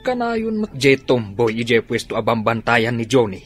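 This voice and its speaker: Man acting husky, fretful Filipino father. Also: mid-range voice, sing-song, moderate pace, soft background music. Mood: concerned. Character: plain-spoken Filipino father, fretting over his grown children. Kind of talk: Ilocano radio drama acting.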